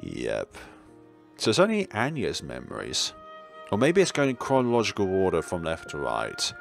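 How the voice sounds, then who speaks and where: Male gaming narrator, lowish and very varied in pitch, reading story text with offhand asides over soft music. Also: huge pitch swings, moderate pace, creaky. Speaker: gaming video maker reading a visual novel aloud. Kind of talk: let's-play read-aloud with casual side remarks. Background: game music.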